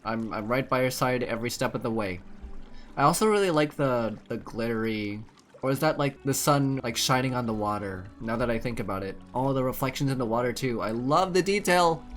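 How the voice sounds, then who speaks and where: Casual male gamer, mid-pitched, playful. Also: brisk. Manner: expressive, playful reactions. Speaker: gaming streamer. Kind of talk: casual playthrough commentary. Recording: game music behind commentary.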